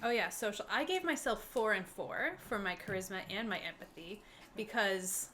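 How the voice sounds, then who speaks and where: A woman's voice: medium pitch, lively intonation, moderate pace, bright, forceful tone. A woman chatting casually as a player in a tabletop roleplaying game. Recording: faintly noisy room.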